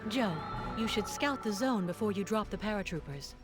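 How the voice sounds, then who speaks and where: A woman's voice: mid-range voice, lively intonation, normal speed, over music, lightly acted. An actress playing a composed, direct woman advising commanders in a wartime video game. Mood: cool, assured. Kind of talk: military video game dialogue.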